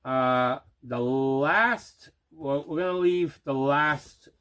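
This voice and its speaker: Male unboxing host, mid-pitched. Casual, plain-spoken, enthusiastically emphatic. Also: very slow, fairly bright tone, clear, resonant tone. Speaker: host of a movie collection unboxing show.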